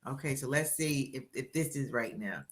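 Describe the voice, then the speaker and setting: A woman in her sixties hosting a live-stream interview, energetic and encouraging with a motivational push. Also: a bass voice, normal speed. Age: 60s. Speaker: interviewer and book coach.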